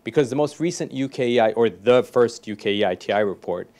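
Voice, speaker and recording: A man's voice: medium pitch, expressive, a little fast, darkish tone. A man in the audience, pressing an earnest point about disclosure. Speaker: audience member.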